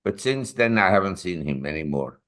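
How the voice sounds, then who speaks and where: A man's voice: sits low, lively intonation, a little fast, fairly bright tone. A man in his seventies, a newsletter publisher and interview guest, telling long rambling anecdotes with self-corrections. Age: 70s.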